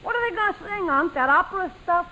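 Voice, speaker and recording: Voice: extremely high pitch, animated, fast talker, sharp tone, exaggerated voice. A man playing a brash, wisecracking young boy nephew in 1940s radio comedy. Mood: cheeky. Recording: very noisy old-time radio recording.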